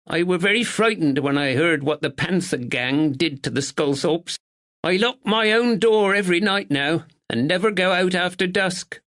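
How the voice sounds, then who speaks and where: A man's voice: high voice, expressive, normal speed, fairly bright tone, pitched above the performer's own voice. A man voicing an anxious middle-aged village woman with a dialect who cares for her mother. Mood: nervous, polite. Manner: timid, dialect "were".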